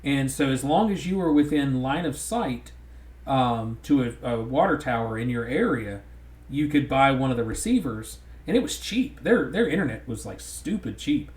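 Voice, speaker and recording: A man's voice: mid-range voice, lively intonation, moderate pace, a little mellow. Rambling Southern man, echoey room. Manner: rambling, many restarts. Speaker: podcast co-host. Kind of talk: casual chat. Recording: roomy echo.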